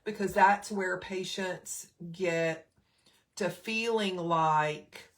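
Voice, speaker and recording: Woman, middle-aged, nurse hosting a live stream, slightly scratchy throat, chatty with fillers, lowish voice. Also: drawn-out words. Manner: chatty, many fillers, greets viewers by name. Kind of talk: casual live-stream talk.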